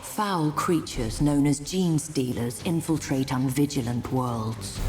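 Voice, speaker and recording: A woman with a strikingly low, dark-toned voice delivers scripted narration for a game cinematic. Her tone is grave and ominous throughout, and a full orchestral score plays loudly behind her. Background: dramatic orchestral score.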